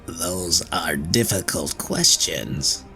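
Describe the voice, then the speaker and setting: A man voicing the devil's old grandmother, speaking slowly and softly. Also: deep voice, gravelly, exaggerated voice. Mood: calm. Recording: faint music behind.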